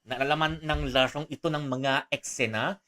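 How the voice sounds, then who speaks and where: A young man from Malaysia chatting casually on a livestream, rambling with frequent restarts and soft laughs. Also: mid-range voice, fairly even intonation, moderate pace.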